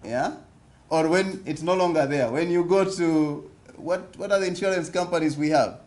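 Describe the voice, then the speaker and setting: A man's voice: on the high side, moderate pace, darkish tone. A Kenyan man giving an interactive seminar talk at a business forum, often asking the audience questions and repeating his points. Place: conference hall.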